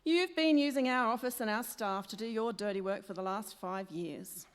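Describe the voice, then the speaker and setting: A woman's voice: mid-range voice, normal speed, smooth, close to an everyday voice. A woman playing a plucky, forthright newspaper worker in a radio play, earnest and outspoken. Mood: indignant. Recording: echoey hall stage recording.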